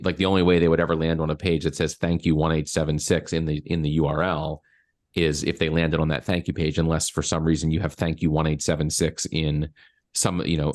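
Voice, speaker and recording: A man in his forties coaching a client step by step over a video call, explaining with many fillers. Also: extremely low pitch. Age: 40s. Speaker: marketing coach. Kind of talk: screen-share coaching session.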